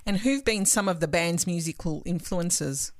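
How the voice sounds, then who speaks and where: Woman interviewer, slightly low pitch, dry close sound, short measured questions. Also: no echo.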